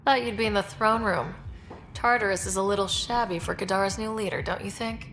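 An actress playing a guarded, dry-humored young space explorer in a game, speaking directly. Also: female voice, mid-range voice, steady tone, fairly quick, music in the background, natural voice. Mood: dry, friendly. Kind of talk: cinematic game dialogue acting.

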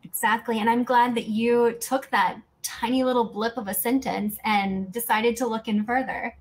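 A woman's voice: a little high, fairly even intonation, moderate pace. A woman in her thirties hosting an interview, asking prepared questions in a conversational way. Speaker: podcast host and interviewer. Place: home room, indoors, on a video call.

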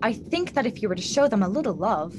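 Woman playing an earnest, lively-voiced young idealist. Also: mid-range voice, fast talker, natural voice. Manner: earnest, questioning. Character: earnest, compassionate young female idealist visitor. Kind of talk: radio drama acting. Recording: clearly noisy live drama recording.

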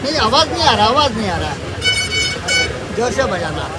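A man's voice: extremely high pitch, very animated, normal speed. An older man, a street musician, explaining his homemade instrument in plain, repetitive Hindi on a busy night street. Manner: plain broken Hindi, repeating short instructions. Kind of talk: casual explanation to passers-by. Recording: outdoor street crowd and loud music.

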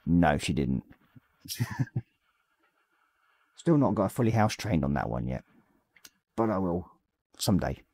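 A man in his forties with a slightly croaky voice, casually chatting on a live stream and reading out viewer messages as he paints. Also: sits low, unhurried, long pauses, no echo. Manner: reads chat messages aloud, relaxed and rambling. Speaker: livestream host. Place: small hobby room at home.

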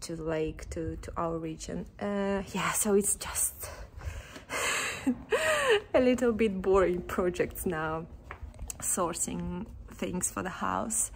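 Young woman vlogger, unhurried and chatty with frequent laughs, selfie phone outdoors, faint street ambience. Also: lowish voice, very animated, a little hiss or hum. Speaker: renovation vlogger. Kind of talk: casual walking vlog. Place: outdoors on a quiet village street. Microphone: phone held at arm's length.

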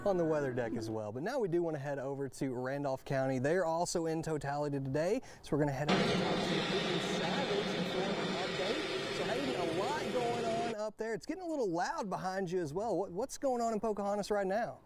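A man anchoring live TV coverage, brisk and conversational as he tosses to a field reporter. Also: sits high, animated, very noisy. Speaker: news anchor.

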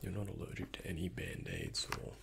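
A young man speaking in a breathy, hushed ASMR whisper, gently and unhurriedly, close to the microphone as in a doctor roleplay. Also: sits low, wildly swooping pitch, a little fast, background noise. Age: young adult. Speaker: ASMR creator playing a doctor. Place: indoors, close before a dark backdrop.

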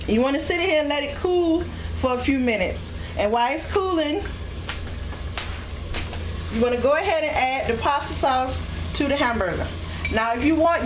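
Female home cook host, higher-pitched, practical how-to narration; roomy kitchen sound on a camcorder mic. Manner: plain step-by-step instructing. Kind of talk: hands-on cooking demonstration narration. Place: indoors, home kitchen at the stove. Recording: echoey kitchen, loud sizzle and stove noise.

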